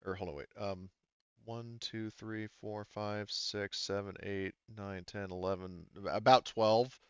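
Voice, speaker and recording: A man with a low, smooth voice works through game rules as a game master. He talks casually and haltingly, thinking aloud. The sound is dry and slightly muffled. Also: fairly even intonation, no echo. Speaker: game master of a tabletop roleplaying game.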